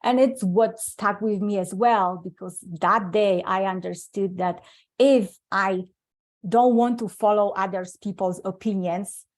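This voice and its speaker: A woman's voice: medium pitch, fairly even intonation, a little slow, a little mellow. A middle-aged woman from Poland with a Polish accent, telling her life story earnestly as an interview guest, often restarting her sentences. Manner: earnest, with frequent restarts and non-native grammar. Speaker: guest speaker.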